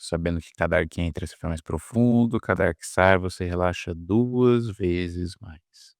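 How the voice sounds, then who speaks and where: A man with a Brazilian Portuguese accent leading a guided meditation, soothing and repetitive, with pauses between drawn-out phrases. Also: low voice, no echo, clean studio sound. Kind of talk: guided meditation, hypnotic induction.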